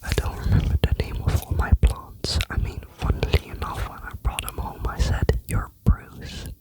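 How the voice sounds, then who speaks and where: A vlogger telling a rambling, chatty personal story in a soft ASMR whisper. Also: normal speed. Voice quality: whispering throughout.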